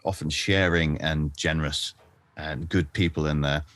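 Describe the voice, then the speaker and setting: A man's voice: a bass voice, fairly even intonation, normal speed, fairly bright tone, dry recording. A man hosting a casual interview, asking questions in an easygoing, friendly way. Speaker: interview host, mixing engineer.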